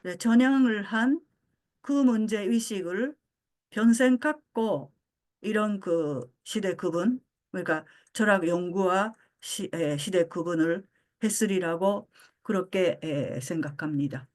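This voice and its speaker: An older woman born in Japan giving a philosophy lecture, pausing often with fillers as she searches for words. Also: medium pitch, darkish tone. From Japan (Osaka). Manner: frequent hesitation fillers, halting.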